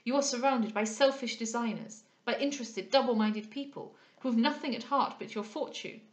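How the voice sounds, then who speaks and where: A woman voicing a scheming, guarded gentleman in a period novel, formal and insistent. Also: on the high side, moderate pace, pitched above the performer's own voice, natural voice. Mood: insistent warning. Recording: echoey room.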